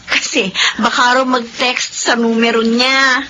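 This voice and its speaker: A young Filipino radio drama character, caring, talkative and playfully insistent. Her voice is high and bright, on a slightly dull broadcast recording. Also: flat delivery, a little slow, slight background noise. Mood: chatty.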